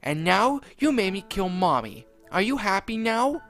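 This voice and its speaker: A man's voice: fairly high pitch, expressive, a little fast, a bit bright, soft background music, pitched above the performer's own voice. A man voicing a bratty, foul-mouthed young boy who whines and threatens. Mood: bitter.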